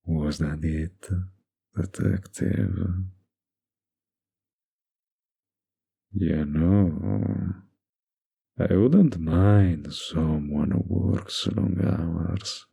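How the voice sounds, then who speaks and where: A man playing a smug, dominant mafia boss in an intimate ASMR roleplay, with a gravelly, husky voice and a suggestive, deliberate drawl. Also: a bass voice, warm tone, full character voice. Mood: provocative, flirty. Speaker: roleplay voice actor.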